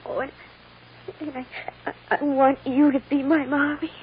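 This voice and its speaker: A woman playing a hurt, bewildered little American girl with halting, plaintive speech in an old-time radio drama. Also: high-pitched, flat delivery, natural voice. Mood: bewildered, hesitant. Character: hurt, bewildered little girl seeking her mother's love. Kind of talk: 1940s-50s American radio drama acting. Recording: dull, noisy old radio recording.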